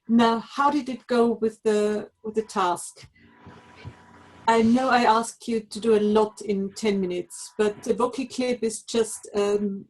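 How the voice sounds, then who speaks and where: Woman webinar presenter, mellow tone, explanatory and instructive. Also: mid-range voice, low-quality recording. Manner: explanatory, gives practical instructions. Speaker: webinar presenter for language teachers. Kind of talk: live online lesson.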